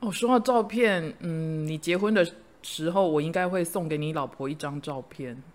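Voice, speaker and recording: A middle-aged woman, a host and teacher, chatting casually and telling lively stories with frequent laughter. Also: sits low, normal speed. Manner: animated storyteller, laughs often. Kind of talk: casual chat.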